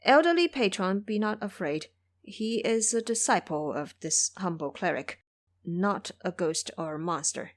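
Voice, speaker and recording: A woman voicing a gentle, pious male monk master with a polite, measured and humble manner in an audio drama. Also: on the low side, animated. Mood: reassuring. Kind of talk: audio drama character acting.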